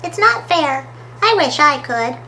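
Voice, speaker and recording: A woman voicing Smudge's part as an eager, stubborn young female animal in a children's storybook, bright and childlike. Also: high-pitched, big pitch swings, a little slow, creaky, a little hiss or hum, voice pushed up, heavily acted. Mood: excited, wishful.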